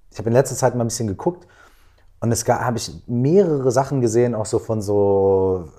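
A man interviewing a guest in a relaxed, casual chat, with loose phrasing and tag questions. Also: mid-range voice, fairly quick.

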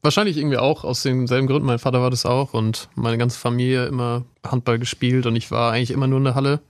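A man's voice: medium pitch, flat delivery, fast, no echo, studio quality. A man chatting casually as a guest, in a laid-back and understated way. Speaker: professional handball player, guest. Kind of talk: casual chat.

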